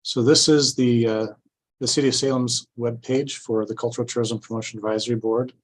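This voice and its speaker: A man giving an informational presentation at a public meeting, explaining things step by step in a halting way. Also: mid-range voice, steady tone. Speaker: city staff presenter at a grant orientation.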